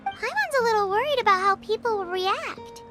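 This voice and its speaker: A woman voicing a small, excitable, childlike fairy companion in a video game, with a squeaky voice and exclamatory manner. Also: a little fast. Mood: uneasy. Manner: exclamatory, speaks of self in third person. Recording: game music behind the voice.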